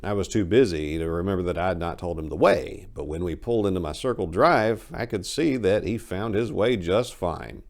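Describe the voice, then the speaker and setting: A man reading his own short story aloud as a dramatic, expressive narration of literary horror. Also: low voice, normal speed, gravelly, hardly any pauses.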